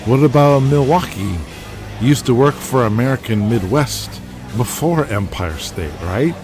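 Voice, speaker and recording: A man playing a young, cocky, tipsy wrestler's sidekick in an audio drama, loose and excitable. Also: medium pitch, measured pace, lightly acted. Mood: curious. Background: music.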